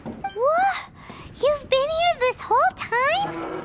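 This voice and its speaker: A tiny childlike fairy sidekick from a video game, squeals in an extremely high voice. It exclaims and frets, drawing words out slowly, with loud music underneath. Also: female voice, lively intonation, full character voice. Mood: surprised. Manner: squeaky, exclaiming, speaks of itself in third person. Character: tiny floating fairy companion, childlike, excitable and easily alarmed.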